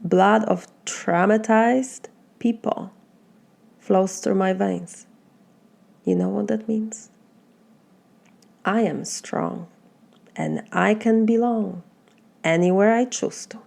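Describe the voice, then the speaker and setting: Polish lady life coach, slow and earnest, kind of gravelly, asks lots of questions. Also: medium pitch, a little mellow. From Poland. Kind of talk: motivational talk to camera.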